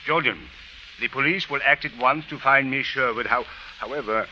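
An actor playing a guarded, officious police captain in an old-time radio drama, formal and precise in speech. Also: male voice, mid-range voice, normal speed, pushed and bright, slight background noise. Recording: lo-fi old radio recording, faint sizzle.

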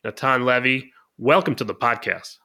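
A middle-aged man co-hosting a podcast, explaining his views at length in a measured way. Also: mid-range voice, sharp tone. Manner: measured, long-winded explaining. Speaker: podcast co-host. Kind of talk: podcast talk and interviewing.

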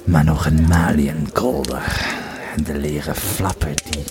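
Man playing a very deep, tipsy Roman legionary. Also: steady tone, noisy background. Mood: tipsy reminiscing. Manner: halting, drunken musing with hesitations. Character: tipsy Roman legionary veteran recounting old war tales. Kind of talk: amateur audio drama storytelling. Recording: crowd chatter behind the voice.

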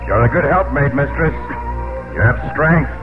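An actor playing a proud, somber indentured farmhand with rebel sympathies, speaking in a formal, measured, eloquent way in a 1950s radio drama. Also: male voice, mid-range voice, animated, phone-quality sound, natural voice. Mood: earnest. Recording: band-limited old radio broadcast with music.

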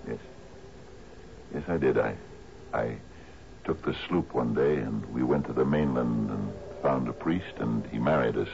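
An actor playing a doddering, sentimental old island sea captain who stammers and repeats himself, in a 1970s radio drama. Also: male voice, very deep voice, a little slow, vocal fry, long pauses, a little mellow, full character voice. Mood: hesitant, confiding. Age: older. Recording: dull, noisy old radio recording.

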